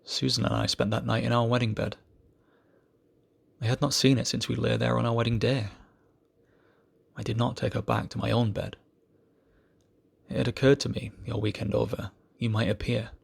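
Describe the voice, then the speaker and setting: A man giving an amateur poetry reading in a solemn style, with measured, deliberate phrasing. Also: mid-range voice, level tone, creaky, husky.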